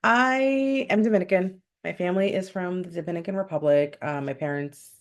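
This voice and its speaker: A woman's voice: fairly low pitch, moderate pace. A young woman from upstate New York, raised speaking Spanish first, chatting candidly and upbeat to camera in a vlog. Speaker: vlogger. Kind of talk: casual chat to camera.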